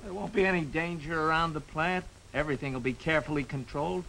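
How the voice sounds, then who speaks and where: An actor playing a reasonable, reassuring small-town doctor in a 1950s film drama, measured and practical, on an old hissy soundtrack. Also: male voice, a little high, gravelly, bright, forceful tone, rough recording.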